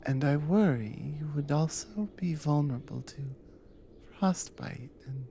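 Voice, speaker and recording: A woman playing a devoted, protective Nordic adventurer wife in an intimate ASMR roleplay, drowsy and tender, breathy and often slipping into a whisper. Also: sits low, lively intonation, a little hiss or hum. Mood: gentle concern. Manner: slow, soft, tender with pauses.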